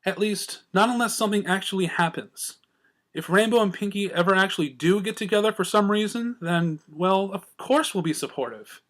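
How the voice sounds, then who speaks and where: A man's voice: high-pitched, normal speed, a bit bright. A man voicing a bookish, sensible young female unicorn who reasons in an exasperated way, in a cartoon fan story. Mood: weakly pleading. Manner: curt, pleading, reasoning.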